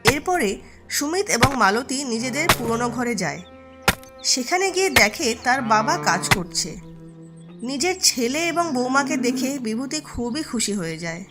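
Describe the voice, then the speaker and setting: A woman's voice: on the high side, sing-song, a little slow, loud music behind the voice. A woman giving scripted storytelling narration as the narrator of a moral tale.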